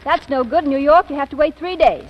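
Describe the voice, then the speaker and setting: An actress playing a brisk, dryly sarcastic secretary in a 1940s-style radio comedy, with wry, clipped retorts. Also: female voice, high voice, highs cut off, natural voice. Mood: businesslike. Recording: noisy old radio recording.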